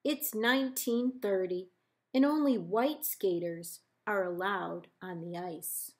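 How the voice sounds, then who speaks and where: A woman librarian reading a children's picture book aloud in measured, deliberate phrases with pauses. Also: mid-range voice. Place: indoors, book held up to camera.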